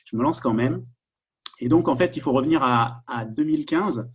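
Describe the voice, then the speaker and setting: Husky Parisian man, chatty and informal. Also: medium pitch, normal speed, dull audio. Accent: Parisian French. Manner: informal, self-deprecating, repeats words while starting sentences. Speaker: panelist presenter. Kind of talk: chatty webinar presentation.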